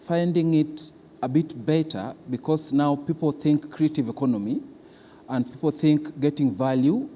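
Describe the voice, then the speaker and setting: A Kenyan man with a soft, mellow voice pitched a little high explains things in an interview. He speaks deliberately and haltingly, repeating words for emphasis. The sound rings with long hall reverb. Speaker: arts institution official being interviewed. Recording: long reverberant hall, clear background noise.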